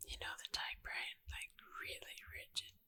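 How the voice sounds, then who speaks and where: A woman whispering in a breathy, unvoiced voice, rambling casually and conversationally in an ASMR style. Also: bright, forceful tone. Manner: conversational, anecdotal, unhurried. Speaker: ASMR host.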